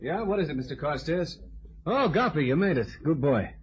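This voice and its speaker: An actor playing an easygoing, friendly stagehand who talks casually, in an old radio drama. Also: male voice, fairly high pitch, lively intonation, moderate pace, dull audio, a crowd in the background, natural voice. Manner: casual, friendly, hesitant fillers.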